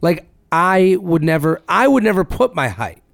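Male podcast co-host, raspy and lively, swears casually, dry studio sound. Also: high-pitched, normal speed, no echo. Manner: animated, swears casually, reads listener emails aloud. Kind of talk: casual chat.

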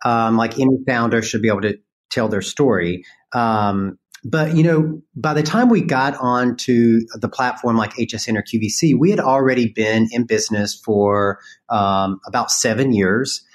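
A man with a North Carolina Southern accent, guesting on an interview as a company co-founder. His delivery is easygoing and relaxed, with fillers and a light humor. His voice sits at a middle pitch. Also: normal speed, few pauses.

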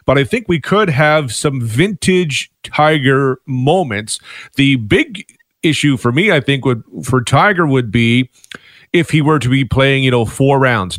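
A male sports reporter on a radio show who lays out the story step by step in a calm, even way. His voice sits at a middle pitch. The studio sound is dry, with no room echo.

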